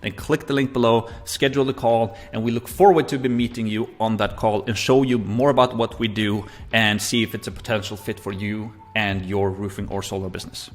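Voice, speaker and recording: A man's voice: fairly low pitch, steady tone, brisk, hardly any pauses. Swedish-accented man, course instructor, easygoing, heavy on fillers. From Sweden. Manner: frequent fillers, "essentially" and "right?". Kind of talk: recorded marketing lesson.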